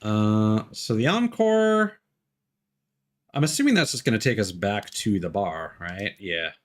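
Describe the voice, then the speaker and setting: A man's voice: on the low side, expressive, unhurried. A man streaming a video game with casual live commentary, musing aloud as he goes. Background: music behind the voice.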